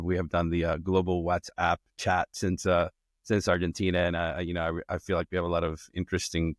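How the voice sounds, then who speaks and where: A man's voice: deep voice, no echo, studio quality. A middle-aged man from Colombia, a podcast guest, talking casually about his son's soccer and often restarting his sentences. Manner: relaxed, rambling with frequent restarts. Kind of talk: casual conversation.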